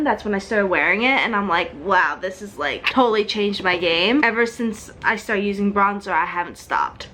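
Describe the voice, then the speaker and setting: A woman's voice: medium pitch, fairly bright tone, slight background noise. A young woman in her twenties chatting casually through her everyday makeup tutorial, peppering her talk with "like". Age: young adult. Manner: chatty, frequent "like" fillers. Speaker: beauty vlogger, esthetician.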